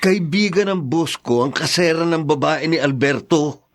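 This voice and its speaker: A man's voice: on the high side, moderate pace, lightly acted. A man playing an indignant, protective older brother in a radio drama. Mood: angry. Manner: heated, indignant.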